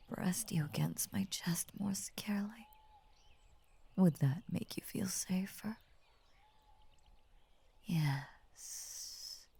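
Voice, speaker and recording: A woman voicing a tender, possessive lamia in an intimate ASMR roleplay, whispering slowly and soothingly with formal, coaxing words. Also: mid-range voice, warm tone, heavily acted. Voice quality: breathy, whispery. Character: possessive, tender female lamia, half snake, doting and unnerving.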